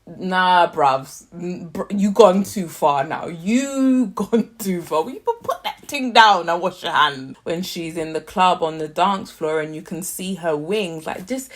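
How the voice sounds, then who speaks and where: A young British woman casually reviewing a TV series, chatty and slangy with animated asides. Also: lowish voice, a little slow, hardly any pauses. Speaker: YouTube TV reviewer.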